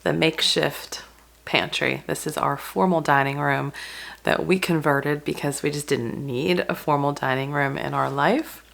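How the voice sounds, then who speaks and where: A woman in her thirties, hoarse from a cold, chatting her way through a pantry clean-out vlog with lots of ums. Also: deep voice, steady tone, a little slow, a bit bright. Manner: chatty, rambling with many ums. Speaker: homestead vlogger. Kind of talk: casual walkthrough vlog. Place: converted dining room pantry, indoors.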